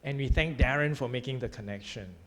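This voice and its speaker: A man lecturing at a university, chatting casually over a hybrid video call, talking haltingly with many fillers and laughing often. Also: mid-range voice. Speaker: lecturer hosting the class. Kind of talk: casual chat. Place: university room.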